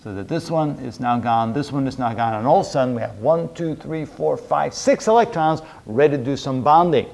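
A man's voice: mid-range voice, lively intonation, brisk, vocal fry, hardly any pauses, darkish tone. A middle-aged man lecturing at a whiteboard, explaining step by step and often repeating his phrases. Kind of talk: chalk-talk chemistry lecture at a whiteboard. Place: indoor room with a whiteboard.

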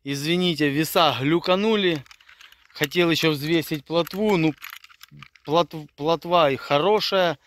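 A man's voice: fairly high pitch, unhurried, fairly bright tone, slight background noise. A middle-aged man vlogging his fishing trip outdoors by a river, talking casually and muttering to himself. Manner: chatty, stumbling, mutters to himself. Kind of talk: casual vlog narration. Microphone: phone held at arm's length.

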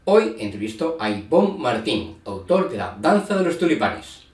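A man in his fifties hosting a book channel, presenting and asking interview questions in a lively, upbeat way. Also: medium pitch, moderate pace. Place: home room with plants. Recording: echoey room, faint background hum, heard from a distance.

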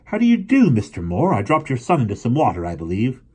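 A man's voice: mid-range voice, wildly swooping pitch, quick pace, darkish tone, slightly rough, pitched above the performer's own voice. A man voicing an easygoing young man, the eldest brother, who reminisces and jokes.

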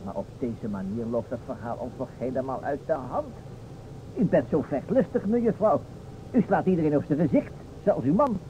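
A man's voice: mid-range voice, expressive, moderate pace, mellow, lightly acted. An actor playing a tall, thin, sardonic policeman in an old radio play. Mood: flustered. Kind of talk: old-time Dutch radio play acting. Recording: dull old radio recording, faint hiss.

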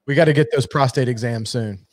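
A man's voice: mid-range voice, fairly quick, no echo. A man in his forties hosting a live whiskey stream, joking, swearing and reading viewer messages aloud. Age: 40s. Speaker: host. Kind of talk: casual live-stream chat. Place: home bar room with shelves of bottles.

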